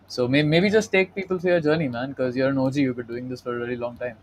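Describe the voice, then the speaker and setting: A man hosting a podcast, chatting casually with lots of fillers, warmly praising his guest and calling him man and dude. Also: medium pitch, fairly even intonation, fast talker, background noise. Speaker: podcast host. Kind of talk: casual interview chat.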